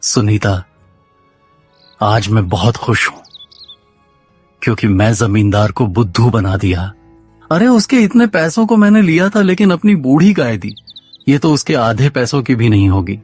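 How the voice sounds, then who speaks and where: A man's voice: mid-range voice, lively intonation, normal speed, pauses often, a little mellow, faint music behind, natural voice. A man voicing a lazy, naive village husband in a cartoon story, talking in dialogue. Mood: boastful, pleased.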